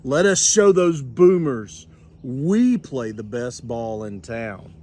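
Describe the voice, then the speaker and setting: A man's voice: medium pitch, sing-song, slow pace, a little mellow, pitched below the performer's own voice. An older man voicing an eager, bossy male mouse who captains a baseball team in a storybook, rallying and calling out orders.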